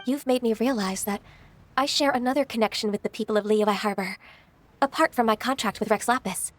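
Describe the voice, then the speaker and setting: A woman playing a gentle, dutiful, ageless secretary, polite and formal, in an anime video game. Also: on the high side, fairly quick, no echo, faint music behind, natural voice. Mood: moved, wistful.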